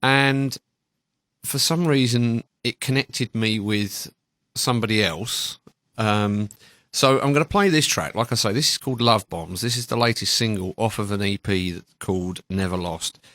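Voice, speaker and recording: A man with a fairly low voice presents new music on the radio. He talks in a casual, meandering, conversational way. The sound is close and dry, from a studio microphone. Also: unhurried, fairly bright tone, dry recording. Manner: rambling, conversational. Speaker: radio show host.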